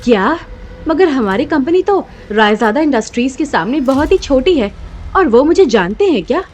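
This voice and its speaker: A woman playing an anxious, status-conscious young businesswoman in an audio drama, dramatic and expressive. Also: high voice, moderate pace. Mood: astonished. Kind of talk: Hindi audio drama acting. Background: background music.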